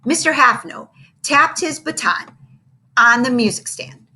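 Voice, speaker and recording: A woman reading a children's book aloud, animated and acting out every character. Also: high voice, measured pace, breathy edge. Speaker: reader.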